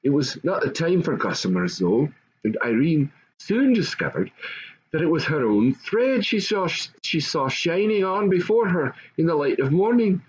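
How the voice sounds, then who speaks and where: An older man reads a classic fairy tale aloud in an expressive, measured, grandfatherly storytelling style. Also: on the high side, husky, lo-fi sound. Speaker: storybook reader.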